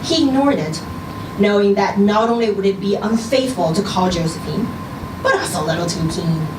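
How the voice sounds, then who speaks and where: A woman reading a short story aloud at a live event, with measured, expressive storytelling. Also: medium pitch. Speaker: story reader. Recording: roomy, distant, noisy live event recording.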